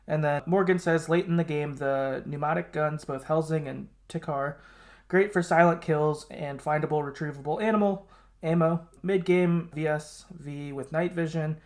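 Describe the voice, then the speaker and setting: A man's voice: on the high side, fairly even intonation, moderate pace. A man hosting a casual gaming podcast, talking informally and often qualifying what he says. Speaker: podcast host.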